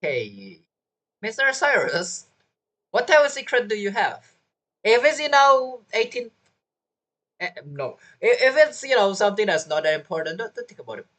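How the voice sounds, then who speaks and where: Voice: mid-range voice, big pitch swings, moderate pace, long pauses, fairly bright tone. A streamer giving casual gameplay commentary, joking and teasing as they talk back to characters.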